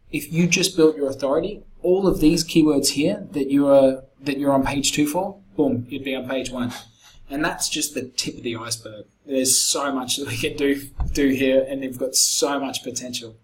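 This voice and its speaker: A middle-aged man, slightly croaky, casually walking a client through a screen-recorded sales pitch with lots of fillers. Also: a little hiss or hum, rough recording. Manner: conversational, many fillers and restarts. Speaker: SEO agency founder pitching a client.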